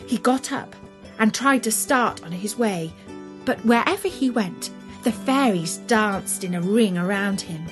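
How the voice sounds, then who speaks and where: A woman narrating a children's fairy tale in an expressive, deliberate storytelling manner. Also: medium pitch, music in the background.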